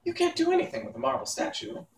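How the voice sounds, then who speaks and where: A fretful young boy who pleads and protests in a raised, high voice, as a man reading a storybook puts him on. There is a roomy echo and faint hiss around him. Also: huge pitch swings, fast, recorded from a distance, heavily acted. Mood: anxious pleading. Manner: worried and pleading. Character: anxious young boy servant.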